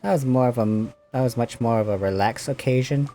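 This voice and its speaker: Very low-voiced woman streamer, playful. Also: a little fast, dark tone. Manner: exclamatory, playful. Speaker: game streamer. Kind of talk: casual gameplay reactions. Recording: game music behind the voice.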